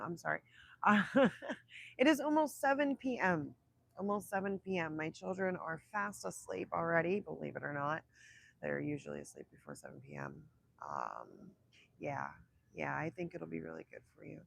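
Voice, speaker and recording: A young woman with a raspy voice from illness, chatting casually on a livestream, rambling and laughing between thoughts. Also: on the low side, a bit bright. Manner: rambling, self-interrupting, laughs often. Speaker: livestream host. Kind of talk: casual live chat with viewers.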